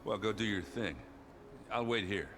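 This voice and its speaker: A man's voice: fairly low pitch, moderate pace, lightly acted. A man voicing an easygoing, casual companion in a video game. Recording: game audio with clear ambient noise.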